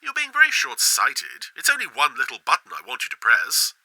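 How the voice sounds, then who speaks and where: A man's voice: medium pitch, huge pitch swings, fairly quick, crowd noise, rough recording. A man presenting a podcast segment as scripted comic dialogue, polite, coaxing and theatrical.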